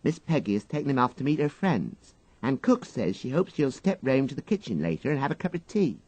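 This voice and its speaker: A man's voice: mid-range voice, sing-song, a little fast, darkish tone, breathy edge, dry recording, pitched above the performer's own voice. A man voicing a polite, matter-of-fact parlour-maid in a storybook. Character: polite, brisk young English parlour-maid.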